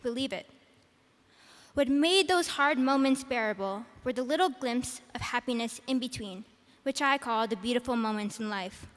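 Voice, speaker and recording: A teenage girl with a quiet voice, giving a prepared graduation speech as salutatorian from a podium microphone. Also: on the high side, normal speed. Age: teens. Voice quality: soft-spoken, quiet voice. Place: large arena. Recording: arena with long reverb.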